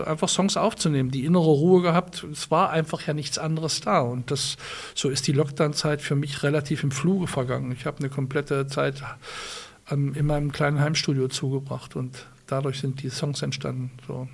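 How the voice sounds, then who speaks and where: A man's voice: fairly high pitch, gravelly. A male musician and guitarist being interviewed, speaking thoughtfully in his native German with many restarts and fillers. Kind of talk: conversational interview.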